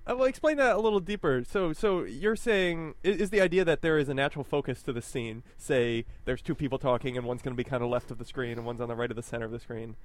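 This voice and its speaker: A man's voice: medium pitch, fast talker, hardly any pauses, dry recording. A man co-hosting a casual podcast, asking questions and joking around, with frequent fillers. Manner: jokey, inquisitive, trails off into fillers. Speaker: podcast co-host.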